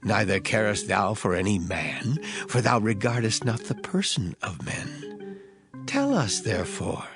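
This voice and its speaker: An actor playing a crafty, flattering male follower of the Pharisees in a dramatized scripture reading, with an unctuous, theatrical manner. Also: fairly low pitch, a little slow, music in the background. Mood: sly flattery.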